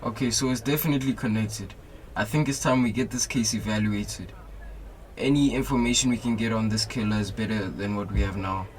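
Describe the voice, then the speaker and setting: Guy playing a level-headed sceptical cop, kinda low voice, radio play. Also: brisk, natural voice, poor audio. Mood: businesslike. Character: level-headed male police detective, sceptical partner. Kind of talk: student radio drama acting.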